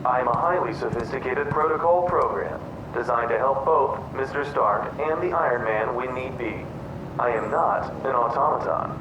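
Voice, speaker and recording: Give this audio drama a courteous, prim artificial butler, acted by a man. He speaks formally and fussily, in a mid-pitched male voice. The sound is roomy and set back from the mic, with clear noise behind it. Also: moderate pace, dull audio, lightly acted. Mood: mildly offended. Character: polite fussy male AI butler program. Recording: roomy, distant, noisy background.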